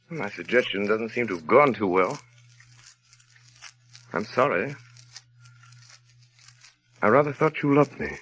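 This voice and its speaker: A dull, lo-fi vintage radio recording carries a brusque gentleman of means. He speaks at a middling pitch. His manner is clipped and imperious, with a dry, teasing edge, in the of old radio drama. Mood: stern, impatient. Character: brusque, sardonic, well-to-do gentleman proposing marriage. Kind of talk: 1930s radio drama acting.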